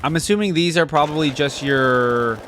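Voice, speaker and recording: A man's voice: fairly high pitch, moderate pace, noisy background. A man giving casual, enthusiastic reaction commentary as a gaming video host, full of exclamations and slangy phrasing.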